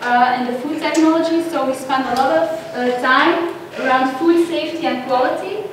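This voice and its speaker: A woman, a lecturer giving a guest lecture with many pauses and "uh"s. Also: high voice. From Belgium. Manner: friendly, frequent "uh" pauses. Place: large echoey lecture hall. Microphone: distant room mic. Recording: echoey hall from far off, noisy.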